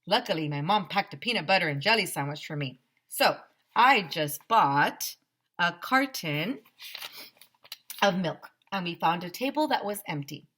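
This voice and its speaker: A woman teacher reading a children's chapter book aloud in an expressive, animated way. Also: fairly low pitch, measured pace. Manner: animated, acts out dialogue.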